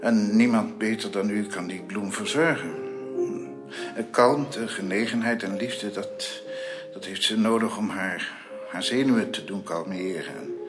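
A man playing a grave, reassuring physician in a Dutch radio drama, formal and measured with hesitant pauses. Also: fairly low pitch, over music, close to an everyday voice. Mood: grave, gentle.

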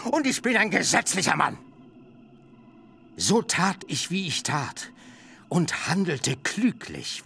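A man's voice: sits high, vocal fry, a bit bright, slight background noise, full character voice. A man playing a cunning, pompous older uncle in a literary radio play, lecturing in a sly, self-righteous way. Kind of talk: stylized literary radio drama.

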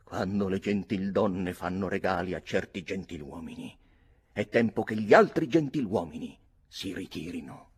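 A man's voice: low voice, animated, normal speed, husky, exaggerated voice. A man voicing a big, haughty English army captain in a story, sarcastic and ceremonious.

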